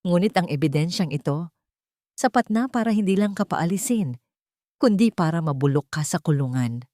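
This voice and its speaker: A woman's voice: lowish voice, expressive, normal speed, dark tone, no room sound, clean studio sound, natural voice. Woman voicing a bitter, heartbroken Filipino man. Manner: pained, bitter.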